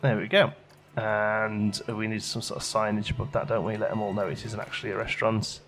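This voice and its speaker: A man's voice: on the low side, little pitch movement, fairly quick, a bit bright, faint music behind. A man giving casual gameplay commentary, chatting and musing aloud as he questions his own choices, as a let's play host.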